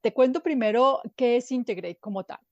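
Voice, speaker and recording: A woman from Colombia, a guest from a nonprofit, answering interview questions in a measured, explanatory way with fillers and restarts. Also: on the high side, darkish tone, dry recording, highs cut off. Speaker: guest from an NGO.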